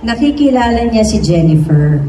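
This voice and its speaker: A woman giving a serious, measured dramatic reading of a story at a staged event. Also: fairly high pitch, big pitch swings, dark tone. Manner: measured, serious, deliberate phrasing. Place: event room before an audience. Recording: echoey room, distant mic, clear noise.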